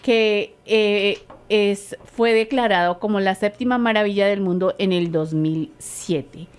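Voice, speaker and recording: A woman's voice: medium pitch, a little slow, smooth. A woman co-hosting a cultural program, explaining facts with frequent hesitations. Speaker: co-host. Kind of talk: presenting facts.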